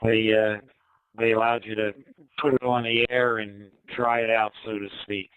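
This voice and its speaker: An older American man in easygoing, hesitant casual chat, pausing often, heard through a digital ham radio link. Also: on the low side, level tone, clear, resonant tone, no echo, phone-quality sound. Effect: digital ham radio voice codec. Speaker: amateur radio operator. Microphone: two-way radio microphone.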